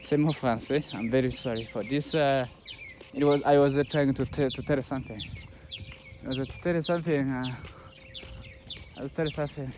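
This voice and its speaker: A Rwandan man, a native Kinyarwanda speaker, talking to viewers as a content creator in a casual vlog, halting and pleading with many restarts. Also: medium pitch, fairly even intonation, darkish tone, slight background noise. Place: outdoors by a road with passing buses.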